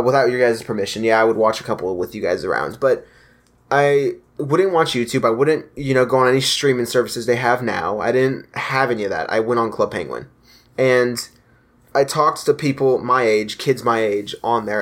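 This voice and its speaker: A man's voice: mid-range voice, moderate pace. Chatty young man hosting a podcast. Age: young adult. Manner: chatty, rambling, loose fillers and restarts. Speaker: podcast host. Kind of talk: casual podcast chat.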